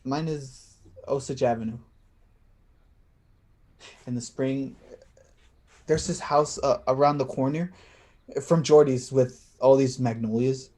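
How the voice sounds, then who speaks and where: A man's voice: medium pitch, fairly even intonation, warm tone, close to an everyday voice. A man acting in a play reading as an anxious, tender, slightly tipsy man who stammers and hesitates. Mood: wistful. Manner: halting, stammering.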